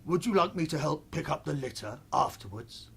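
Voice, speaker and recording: A storyteller voicing a sad, gentle, lonely male wolf in a subdued, hesitant way for a children's bedtime story. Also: medium pitch, expressive, moderate pace, creaky, slightly rough, exaggerated voice. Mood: meek.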